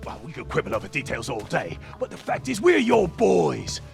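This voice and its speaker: An actor voicing a small, cheeky, blustering male orc sidekick in a video game cinematic, with an animated and wheedling manner. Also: fairly high pitch, moderate pace, creaky, music in the background, full character voice. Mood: wheedling, pushy. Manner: animated, wheedling, loudly indignant.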